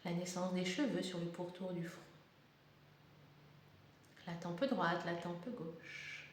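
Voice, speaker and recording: A woman guiding a yoga meditation in calm, deliberate short phrases with pauses between instructions. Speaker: yoga nidra guide. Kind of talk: guided meditation, slow soothing instruction. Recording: very echoey room, faint rumbling noise.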